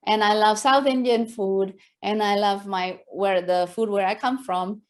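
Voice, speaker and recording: Woman with an Indonesian accent, measured pace, enthusiastic lecturer, asks the audience questions, laughs often. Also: medium pitch, steady tone. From West Java, Indonesia. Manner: engaging, quizzes the audience, frequent rhetorical "right?". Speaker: guest lecturer.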